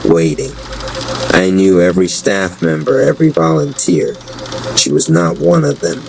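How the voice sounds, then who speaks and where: A man narrating a scripted audio drama story in a measured, solemn manner. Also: deep voice, big pitch swings, gravelly, background noise.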